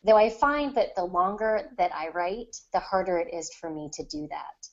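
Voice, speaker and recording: A woman's voice: fairly low pitch, expressive, normal speed, muffled recording. A woman on a podcast panel talking casually and reflectively about her craft, explaining things at length. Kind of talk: casual discussion of writing craft.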